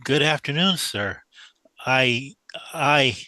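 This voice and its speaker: A man's voice: medium pitch, animated, slow pace, bright, forceful tone. A man playing a young, deferential, bewildered mathematician in a radio drama, hesitant and polite. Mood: startled.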